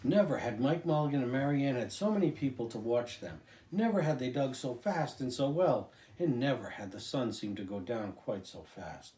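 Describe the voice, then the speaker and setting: Expressive older man reading, very varied pitch. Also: moderate pace, hardly any pauses. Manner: expressive, dramatic, with occasional stumbles. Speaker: storyteller. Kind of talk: animated children's picture book reading. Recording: roomy echo with music behind.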